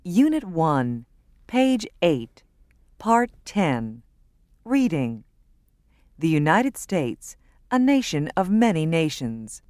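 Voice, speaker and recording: A woman narrating textbook audio, announcing units and instructions slowly and clearly. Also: low voice, big pitch swings, a little mellow, dry recording, clean studio sound. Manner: slow, deliberate, clearly enunciated. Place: recording studio.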